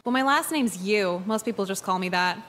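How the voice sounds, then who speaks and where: A woman playing a cynical, foul-mouthed teenage high school girl in a video game, deadpan and sardonic. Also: brisk, slight background noise, lightly acted. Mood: dry, casual.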